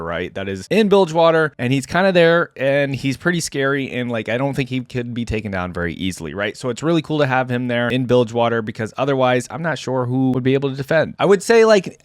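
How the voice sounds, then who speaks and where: A man's voice: medium pitch, dry recording, studio quality. A man hosting a casual gaming reaction video, rambling conversationally and often checking in with listeners.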